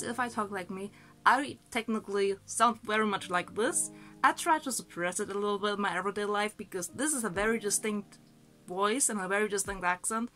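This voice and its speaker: A young woman talks casually into a nearby camera in a small bedroom, hesitant and full of fillers. She sounds slightly sick, her voice sits at a middling pitch, and faint music plays underneath. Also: pushed and bright. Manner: chatty, hesitant, many fillers. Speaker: vlogger. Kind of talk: casual talk to camera and food voice-over.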